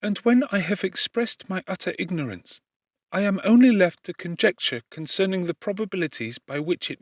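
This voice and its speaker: A man's voice: a little high, no room sound, clean studio sound, voice pushed up. A man voicing a meek, innocent young servant woman accused of a crime, pleading formally and earnestly in an audiobook. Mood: helpless, resigned. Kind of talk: audiobook character reading.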